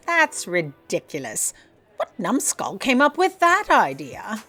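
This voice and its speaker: A woman's voice: mid-range voice, huge pitch swings, slow, sharp tone, exaggerated voice. A woman playing a haughty, forgetful editor-in-chief in a comedic audio drama, speaking formally and imperiously. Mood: scornful. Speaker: voice actor.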